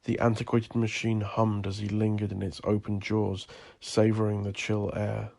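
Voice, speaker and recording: A man narrating dark horror fiction in a deliberate, literary storytelling manner. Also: on the low side, completely flat delivery, gravelly.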